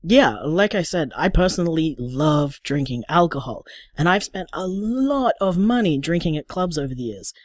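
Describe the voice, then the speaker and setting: An actor playing a sociable, flashy bar co-owner in a radio drama interview, chatty and upbeat with an Australian accent. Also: male voice, on the high side, animated, normal speed, hardly any pauses, no room sound, studio quality, natural voice.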